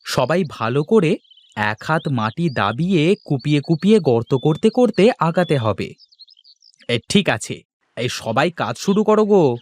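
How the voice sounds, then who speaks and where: Cartoon farmer, male actor: patient, generous villager, earnest delivery, highish pitch, lively swings. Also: unhurried. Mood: hearty, directing. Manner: earnest, expressive cartoon dialogue.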